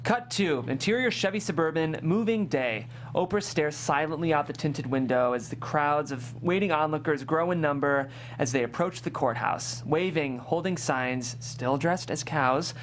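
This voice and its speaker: A narrator reads screenplay stage directions at a table read. The voice sits at a middle pitch, with faint noise behind it. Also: normal speed, few pauses.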